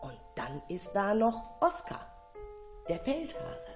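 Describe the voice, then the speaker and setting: A woman narrating a children's story in an expressive, dramatic, suspenseful way. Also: medium pitch, slow pace, faint music behind. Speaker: storyteller, radio show narrator.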